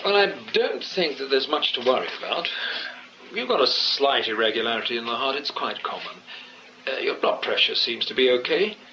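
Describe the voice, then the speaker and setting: An actor playing a sensible British family doctor in a radio drama, measured and matter-of-fact. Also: male voice, medium pitch, animated, close to an everyday voice. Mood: brisk, reassuring. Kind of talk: 1980s BBC radio drama acting. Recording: muffled radio broadcast with hiss.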